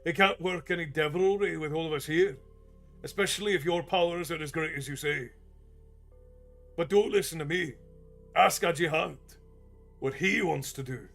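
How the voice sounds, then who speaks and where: A man doing a gruff, hot-tempered dwarf warrior. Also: moderate pace, long pauses, faint music behind. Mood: angry, insistent. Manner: loud, forceful, raised high.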